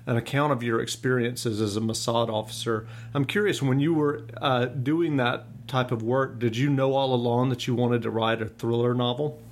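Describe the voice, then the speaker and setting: A man hosting a podcast, asking a guest questions in a smooth, polished way. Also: mid-range voice, fairly even intonation, normal speed, few pauses, slight background noise. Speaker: podcast host. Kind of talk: podcast interview.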